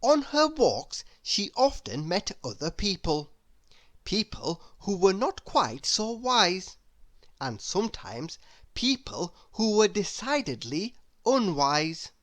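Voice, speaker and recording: A woman narrating a children's story in a measured, expressive read-aloud style. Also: mid-range voice. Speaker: storybook reader.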